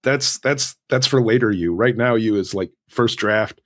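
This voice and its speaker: A man with a fairly low voice speaks as a guest author in a relaxed interview. He tells things conversationally, with self-deprecating asides and little quoted exchanges with himself. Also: a little slow. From Southern California.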